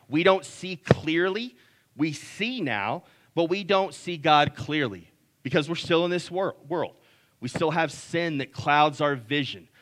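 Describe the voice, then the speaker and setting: A man, preaching a conversational sermon that is full of restarts and "right?" checks. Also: fairly bright tone. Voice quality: deep voice. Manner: conversational, repeats words, frequent "right?". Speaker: pastor.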